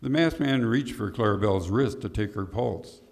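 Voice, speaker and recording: A man's voice: lowish voice, normal speed, a little mellow. A man narrating a scripted Western in old-time radio drama style.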